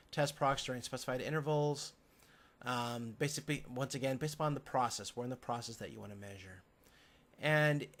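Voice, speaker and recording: A man lecturing from slides, explaining step by step in a halting way. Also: medium pitch, a bit bright.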